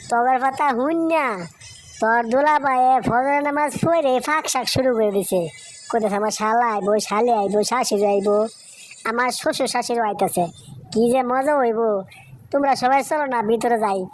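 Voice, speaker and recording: A woman playing a busy, sharp-tongued village wife in a cartoon story, emphatic, with a rural Bangladeshi accent. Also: on the high side, lightly acted, rough recording. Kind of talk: Bengali cartoon story voice acting.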